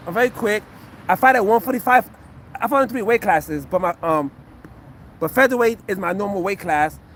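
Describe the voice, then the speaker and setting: A man's voice: high voice, fairly bright tone, a little hiss or hum. A man in his fifties, a retired fighter, breaking down a fight casually for a live chat, repeating himself earnestly. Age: 50s. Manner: repetitive, earnest, talks to chat. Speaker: retired fighter and acupuncture physician.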